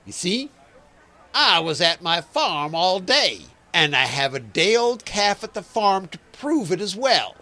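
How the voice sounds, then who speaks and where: Guy as defensive farmer insisting he's innocent, highish bright voice, unhurried, hammy radio play. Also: very animated, heavily acted. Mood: defensive, protesting. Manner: emphatic, protesting innocence, theatrical. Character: defensive, protesting farmer accused of cheating. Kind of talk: comic children's audio drama acting.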